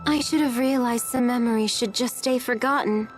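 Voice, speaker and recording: A high-pitched, perky teenage girl played by a woman, caring and friendly. She talks casually, like a companion in an anime game, with faint music behind. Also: normal speed, lightly acted. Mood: apologetic, consoling. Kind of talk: anime game dub acting.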